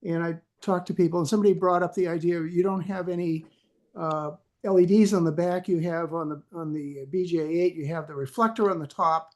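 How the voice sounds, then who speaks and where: A man, a club member, chatting casually on a conference call, halting and searching for words. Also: a little high, fairly even intonation, darkish tone. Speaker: club member, classic car owner. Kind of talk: casual chat.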